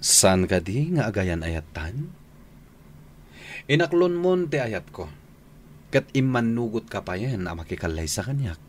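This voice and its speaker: A man's voice: on the low side, sing-song, a little slow, long pauses, a little hiss or hum. A man acting a spurned, lovesick suitor in an Ilocano radio drama, pleading insistently.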